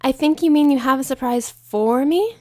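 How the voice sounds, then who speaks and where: A woman's voice: high-pitched, moderate pace, darkish tone, dry recording, studio quality, close to an everyday voice. A woman voicing a prim, fussy female unicorn designer who speaks in a refined, indignant way.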